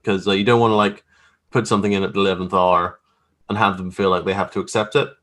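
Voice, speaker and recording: Low-voiced man chatting informally as a guest. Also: level tone, fast talker, fairly bright tone. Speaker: guest graphic artist. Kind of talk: casual video interview, explaining own work. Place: remote video call.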